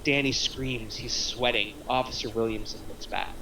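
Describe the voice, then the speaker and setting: A man narrates the action of a screenplay at a table read. His voice has an average pitch, with faint noise in the background. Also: fairly even intonation, moderate pace. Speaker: stage-direction reader.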